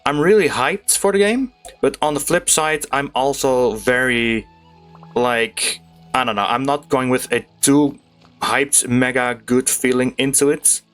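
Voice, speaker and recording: Young male gaming host, mid-pitched, loose rambling commentary over soft game music. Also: unhurried. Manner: rambling, informal, self-correcting. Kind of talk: casual let's play commentary.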